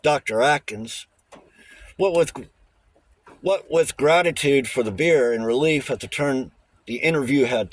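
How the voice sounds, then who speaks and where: A man with a husky voice reading a novel aloud as an audiobook narrator. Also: mid-range voice. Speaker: audiobook reader. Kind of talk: scripted novel narration with character dialogue.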